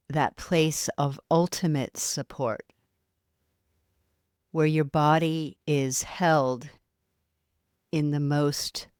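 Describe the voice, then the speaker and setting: A woman leading a guided yoga nidra relaxation in a soft, soothing manner, leaving long pauses between short phrases. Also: extremely low pitch, no echo, studio quality.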